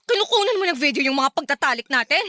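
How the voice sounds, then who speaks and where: A woman's voice: high voice, lively intonation, fast, sharp tone, soft background music. A woman acting a hurt, outraged young girlfriend in a Filipino radio drama. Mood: outraged, disgusted. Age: young adult. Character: hurt, outraged young woman confronting her deceitful boyfriend.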